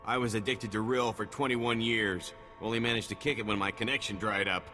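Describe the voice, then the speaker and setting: A man's voice: fairly low pitch, fairly even intonation, fairly quick, bright, forceful tone. A man acting a fervent reformed addict preaching to others in a video game. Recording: long reverb, music underneath.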